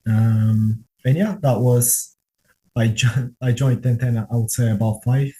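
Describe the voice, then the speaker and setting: A man's voice: medium pitch, unhurried, mellow, poor audio. A man in his late twenties with a Bulgarian accent, chatting casually as an interview guest in a hesitant, self-effacing way. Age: late 20s. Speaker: interview guest, software tester.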